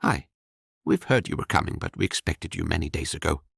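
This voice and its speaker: A man doing a harsh-voiced forceful young woman. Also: animated, brisk, vocal fry, husky, no echo, studio quality, full character voice. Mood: wary. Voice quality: rather deep, harsh. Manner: blunt, sharp-tongued. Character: tall forceful young bonded maid, deep harsh voice, blunt.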